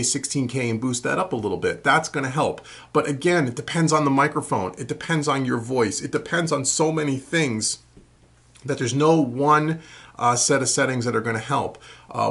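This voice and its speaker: Male tutorial host, 40s, husky medium-pitched voice, conversational explainer, casual Q&A delivery. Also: a bit bright. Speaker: host of a home recording tutorial Q&A show. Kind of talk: casual explanatory talk to camera, answering viewer questions. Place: small furnished room at home, indoors.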